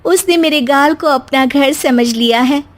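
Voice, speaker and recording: A woman voicing a pious, naive young daughter-in-law in a cartoon, gently stubborn and earnestly preachy. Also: high voice, a little slow. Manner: preachy, earnest, sing-song moralizing. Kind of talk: cartoon moral comedy acting.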